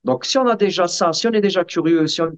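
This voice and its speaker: A man being interviewed, explaining casually in a halting way and often checking that the listener follows. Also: high-pitched, expressive, vocal fry, smooth. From Sicilian family background. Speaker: interview guest. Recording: dull, muffled lo-fi sound.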